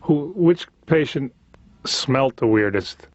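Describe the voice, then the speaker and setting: A man's voice: medium pitch, animated, takes it slowly, no echo. A man playing a squeamish, exasperated husband venting in cartoon therapy, in a comic storytelling style with acted-out asides. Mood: bemused. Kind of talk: stand-up routine as cartoon dialogue. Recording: dull, lo-fi audio.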